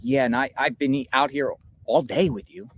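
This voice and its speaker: A man's voice: medium pitch, normal speed. A man conducting an interview on location out in a park. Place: outdoors in a park.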